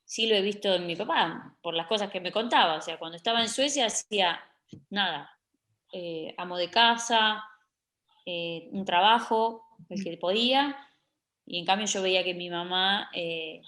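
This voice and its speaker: A woman's voice: mid-range voice, a bit bright. Argentine woman telling personal memories. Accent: Argentine Spanish. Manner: personal, anecdotal, halting. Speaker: interview guest, photographer. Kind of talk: conversational interview.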